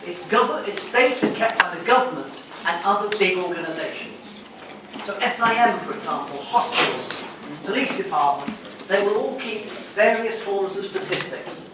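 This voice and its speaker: A man with a high voice delivers a revision lecture in a didactic style, often putting a question and then answering it himself. He is recorded from a distance in a very reverberant lecture room, with clear background noise. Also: expressive, normal speed. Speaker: sociology lecturer. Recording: echoey room, distant mic, noisy.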